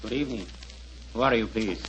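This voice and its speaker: Man acting a solemn, low-voiced gentleman. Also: slight background noise, lightly acted. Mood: guarded. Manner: formal, deferential, measured. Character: solemn, courteous, devoted Egyptian man on a quest. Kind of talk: 1940s radio drama acting. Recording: muffled, lo-fi 1940s radio recording.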